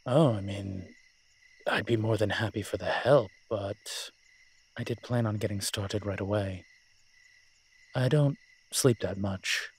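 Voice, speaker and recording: A man playing a suave, teasing demon professor in an audio roleplay, with a wry, conversational delivery and a husky voice. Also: fairly low pitch, a little slow, long pauses. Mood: inviting, casual. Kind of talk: intimate audio roleplay acting.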